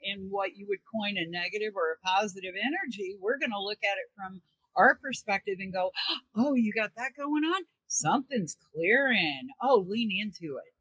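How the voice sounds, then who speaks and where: A woman chatting and telling stories as a guest, animated, acting out the reactions she quotes. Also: mid-range voice, moderate pace, breathy edge, no echo, poor audio. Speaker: radio show co-host as guest. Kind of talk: conversational storytelling.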